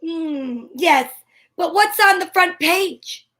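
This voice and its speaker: A woman's voice: very high voice, takes it slowly, pushed and bright, exaggerated voice. A woman playing a chatty, wisecracking gal in old-time radio comedy, with a put-on accent. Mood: wisecracking, breezy. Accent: put-on character accent. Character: Candy, chatty wisecracking woman, friend of a photographer.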